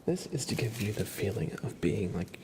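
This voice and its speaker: A man whispering softly and casually as he hosts an ASMR livestream, in a hushed, unhurried manner. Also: lowish voice, sing-song, background noise.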